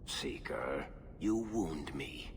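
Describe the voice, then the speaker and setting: Deep-voiced, wry rogue storyteller. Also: male voice, sing-song, drawn-out words, background noise, heavily acted. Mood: wry, guarded. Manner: sardonic, theatrical. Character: wry, roguish storyteller and loyal companion. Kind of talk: video game voice acting. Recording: long hall reverb, music underneath.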